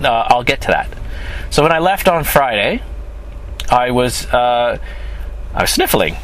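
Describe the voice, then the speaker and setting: A man's voice: medium pitch, sing-song, unhurried, a bit bright. A man with a stuffy, sniffly voice chatting casually to his viewers in a rambling, self-correcting way. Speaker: film crew member. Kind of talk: casual vlog monologue. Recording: traffic noise outdoors.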